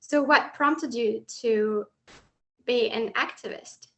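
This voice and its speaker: A woman's voice: a little high, slow, creaky, rough recording. A woman hosting an interview, putting prepared questions to her guest. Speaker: interviewer, host.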